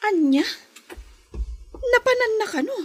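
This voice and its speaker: A woman's voice: very high voice, lively intonation, slow pace, exaggerated voice. An actress playing a patient but firm, long-suffering wife and mother in an Ilocano radio drama, insistent and pleading. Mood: busy, firm.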